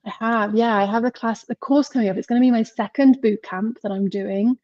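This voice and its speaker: A woman's voice: on the high side, steady tone, fairly quick, dry recording, dull audio. Chatty English woman on a dry call. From England. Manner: chatty, rambling, laughs often. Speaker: podcast guest, marketing mentor. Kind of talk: casual interview chat.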